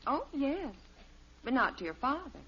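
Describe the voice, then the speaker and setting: A woman's voice: high-pitched, big pitch swings, normal speed, a bit bright. An actress playing a long-suffering, sensible American wife and mother in a 1950s radio sitcom, scolding but patient. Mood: exasperated. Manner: exasperated but patient, clearly enunciated. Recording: muffled, hissy old radio recording.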